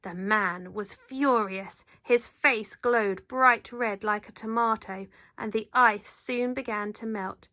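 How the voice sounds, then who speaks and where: A woman reading a children's picture book aloud in an animated, dramatic storyteller's manner. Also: mid-range voice, slow pace, few pauses, no echo, clean studio sound. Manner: animated, dramatic, leans into sound words. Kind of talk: expressive read-aloud narration.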